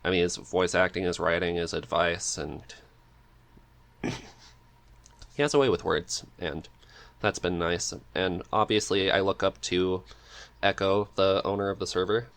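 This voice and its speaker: A man's voice: low-pitched, steady tone, unhurried, pauses often, a bit bright. A man hosting a casual interview chat, speaking in a hesitant, agreeable and informal way as he goes. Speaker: podcast co-host reading questions.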